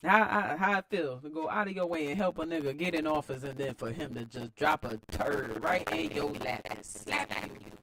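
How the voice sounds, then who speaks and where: An American woman reading a book aloud and adding informal, slangy commentary in an expressive manner. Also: low-pitched, moderate pace, no echo, low-quality recording. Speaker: host of a read-aloud series. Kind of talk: book read-aloud with casual running commentary.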